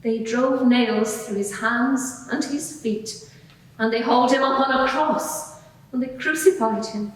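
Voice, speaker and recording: In a reverberant hall, far from the microphone, a woman plays a frank female witness in her forties with a fairly high voice. She testifies plainly and earnestly, halting now and then, in a courtroom drama. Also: natural voice. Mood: sombre. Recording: echoey hall, distant microphone.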